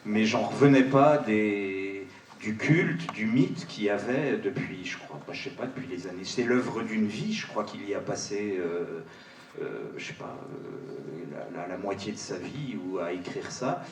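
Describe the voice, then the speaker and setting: A man with a fairly low voice chats on a panel, colloquial and tentative. He sounds a bit far from the microphone in an echoing space. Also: unhurried. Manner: tentative, colloquial, self-doubting. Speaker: voice actor on a panel. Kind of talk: casual panel chat. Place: large cinema auditorium. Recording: echoey hall, distant mic, faint noise.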